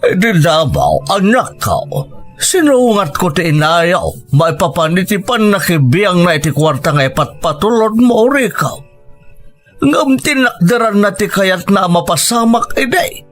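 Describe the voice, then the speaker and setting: A man's voice: high voice, very animated, unhurried, faint music behind. A man playing an earnest, approving aging father-in-law in an audio drama, explaining things patiently.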